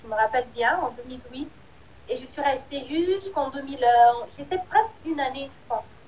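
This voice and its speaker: High-voiced woman singer. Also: a little fast, a little mellow, slight background noise, rough recording. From Republic of the Congo. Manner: candid, hesitant, trailing off. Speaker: singer, interview guest. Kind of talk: casual interview.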